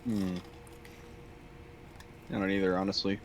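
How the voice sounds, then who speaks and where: A man's voice: level tone, a little slow. An American man chatting casually as a companion on a game livestream. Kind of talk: casual chat. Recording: noisy background with low rumble.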